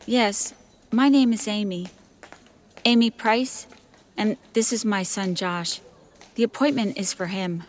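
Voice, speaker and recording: A woman's voice: mid-range voice, unhurried, long pauses, slight background noise, close to an everyday voice. An actress playing a polite mother at a hospital desk in an audio drama.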